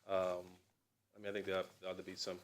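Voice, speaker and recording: A man's voice: sits low, completely flat delivery, fast talker. A man at a city budget workshop thinking aloud about policy, often repeating his words. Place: council chamber.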